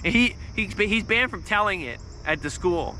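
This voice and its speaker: A man in his thirties with a high, squeaky, nasal voice and a bright, tense edge. He rambles through a casual livestream story, swearing, restarting and spiking in volume. Crickets and insects chirp faintly outdoors behind him. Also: animated, normal speed, a little hiss or hum. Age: 30s. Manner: swears freely, restarts often, volume spikes. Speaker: vlogger on a livestream.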